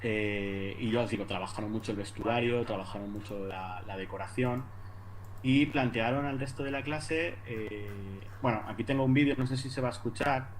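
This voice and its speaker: A man with an accent from Spain giving an informal academic presentation, haltingly, repeating words and adding little tag questions. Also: mid-range voice, poor audio. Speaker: university lecturer presenting at an academic conference. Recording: noticeable background noise.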